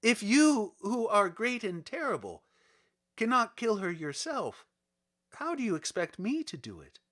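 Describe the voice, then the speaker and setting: Man putting on an earnest, higher-pitched little girl. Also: sing-song, a little slow, fairly bright tone, exaggerated voice. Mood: distressed, pleading. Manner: earnest, plaintive, simple. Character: earnest, helpless little girl, simple and honest. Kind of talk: dramatic storybook character reading.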